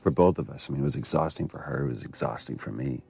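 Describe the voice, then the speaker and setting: A man's voice: rumbling low, quick pace, creaky, darkish tone, husky. A man giving casual, reflective commentary as an actor, earnest and emphatic in how he talks.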